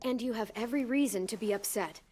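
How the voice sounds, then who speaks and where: A woman playing a protective, patient teenage girl looking after a child, in naturalistic game acting with a steady delivery. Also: on the high side, fast talker, lightly acted. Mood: soothing. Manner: gentle, coaxing, steady. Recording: music clearly behind the voice.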